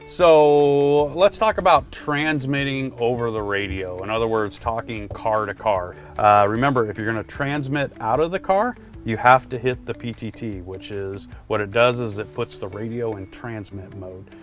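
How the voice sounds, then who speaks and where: A man walks the viewer through a how-to. He speaks informally and conversationally, like a presenter explaining gear step by step, with music faintly behind him. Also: on the low side. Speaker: presenter giving product tips. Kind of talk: casual instructional explainer.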